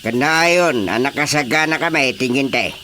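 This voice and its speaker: A man's voice: medium pitch, moderate pace, sharp tone, a little hiss or hum, natural voice. A man with an Ilocano accent playing a nervous, bumbling army sergeant in a comic radio drama, hesitant and exclaiming. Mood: uneasy, deferential. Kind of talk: radio drama comedy acting.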